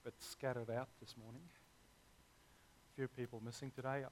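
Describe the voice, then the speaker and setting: A man, hoarse this morning, preaching a sermon as pastor with earnest, emphatic delivery. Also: medium pitch, little pitch movement, normal speed, a little hiss or hum, rough recording.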